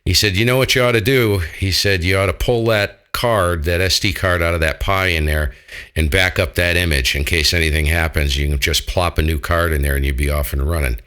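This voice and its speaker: A man's voice: sits low, fairly even intonation, fairly quick, a bit bright, clean tone. An American man chatting casually as an amateur radio operator, colloquial and jokey in manner.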